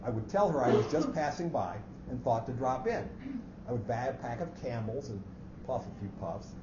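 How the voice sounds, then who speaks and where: A man's voice: mid-range voice, lively intonation, moderate pace, close to an everyday voice. Man acting fussy clerk, formal, precise, coolly plotting, staged story reading, roomy sound, noticeable noise. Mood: scheming. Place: echoey hall at a live reading. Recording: muffled, noisy, echoey hall recording.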